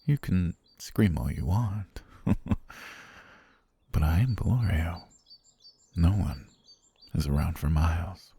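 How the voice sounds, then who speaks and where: A man voicing an obsessive, soft-spoken butler who has kidnapped his lady, talking in a hushed, half-whispered, gently menacing way for an ASMR roleplay. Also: sits low, slow pace, vocal fry, pauses often, slightly rough, exaggerated voice. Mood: soothing possessive. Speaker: voice actor.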